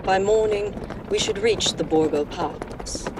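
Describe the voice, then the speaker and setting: An actress playing an anxious young Victorian woman in a gothic horror radio play, speaking in a deliberate, theatrical way. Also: mid-range voice, noisy background, close to an everyday voice. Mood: grave. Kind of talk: dramatic radio-play acting. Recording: dramatic music behind the voice.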